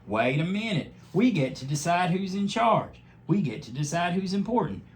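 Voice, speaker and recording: A man voicing an eager, clever male dog in a high, excited and emphatic voice. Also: normal speed.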